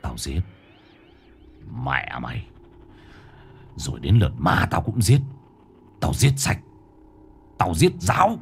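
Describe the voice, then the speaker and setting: A man's voice: lowish voice, very animated, normal speed, long pauses, husky, exaggerated voice. A man doing a frenzied, murderous husband. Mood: menacing mutter. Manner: snarling, swings from mutters to shouts. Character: frenzied middle-aged man turned murderous, unhinged.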